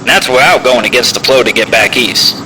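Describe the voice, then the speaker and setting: A man playing a steady, seasoned trucker giving frank, measured advice in CB slang in an audio drama. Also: medium pitch, lightly acted. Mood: calm, advising. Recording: noisy CB radio in truck cab.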